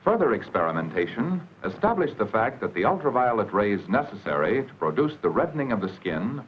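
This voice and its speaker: A man's voice: mid-range voice, slight background noise. An American man delivering formal, scripted 1940s educational documentary narration on an old film soundtrack. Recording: dull, band-limited 1940s film soundtrack.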